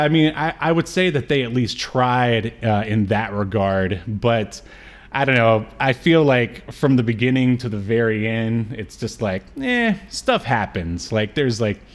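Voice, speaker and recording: A man with a medium-pitched voice co-hosts a relaxed podcast about films from a room at home. He jokes along as he goes and tends to halt and restart his thoughts mid-sentence. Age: late 30s. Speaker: podcast co-host. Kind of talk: casual movie discussion, joking. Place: home room on a video call.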